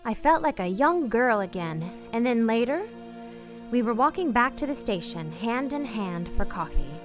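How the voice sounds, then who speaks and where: A woman's voice: a little high, expressive, normal speed, over music, natural voice. An actress playing a wistful, restrained married woman torn by forbidden love in a radio play, speaking earnestly and hesitantly. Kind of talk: radio play acting with first-person narration.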